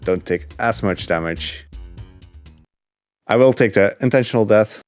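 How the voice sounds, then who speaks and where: A man commentating on a speedrun from his couch at home, chatty and relaxed with lots of fillers. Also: lowish voice, unhurried, no room sound, faint music behind. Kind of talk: casual gameplay commentary. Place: home living room couch.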